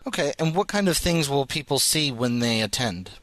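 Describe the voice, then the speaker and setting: A man's voice: medium pitch, animated, normal speed, a bit bright, no echo. A man speaking as a podcast host, interviewing guests in a conversational way.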